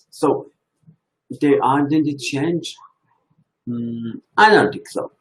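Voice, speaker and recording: Slow, halting man. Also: on the high side, low-quality recording. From Iran. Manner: slow, halting, thinking aloud. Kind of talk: reflective religious talk.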